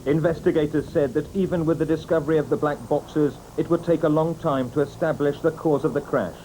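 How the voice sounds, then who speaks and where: Mellow British male news correspondent, slightly high. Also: fairly even intonation, fairly quick, slight background noise, low-quality recording. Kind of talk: scripted news report narration.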